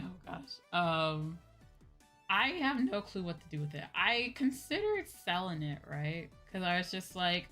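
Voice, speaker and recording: A woman streamer chatting casually with her viewers in an animated, informal way, often checking in as she goes. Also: fairly low pitch. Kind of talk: casual livestream chat. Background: background music.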